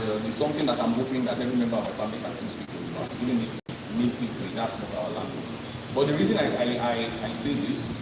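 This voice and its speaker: A man addresses a live audience, drawing them in with questions and frequent restarts. His voice comes from a distance in a reverberant hall. The recording carries a clear layer of noise. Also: medium pitch. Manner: interactive, quizzes the crowd, many restarts. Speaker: speaker presenting to an audience. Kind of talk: live presentation with audience interaction. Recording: echoey hall, voice from afar, noisy.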